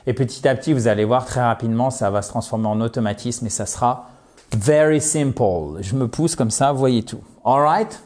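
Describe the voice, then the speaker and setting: French man teaching English, lively and clear. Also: medium pitch. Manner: lively teacher, stresses and spells English words slowly, checks in with "ok?". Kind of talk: video lesson, explaining to viewers.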